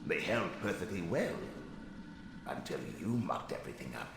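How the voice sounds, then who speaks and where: Sardonic ghost wizard, drenched in reverb. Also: male voice, deep voice, sing-song, moderate pace, vocal fry, full character voice. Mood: bitter. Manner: weary, sardonic, theatrical. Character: bitter sardonic male ghost of a murdered wizard. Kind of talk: video game character acting. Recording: long ghostly reverb, some noise.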